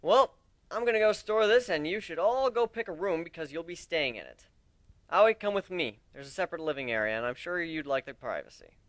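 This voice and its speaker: An earnest, nerdy young griffin, played by a man in a dramatic story reading. He rambles in a high, very animated voice, full of self-deprecation. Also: a little fast, no room sound. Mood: brisk.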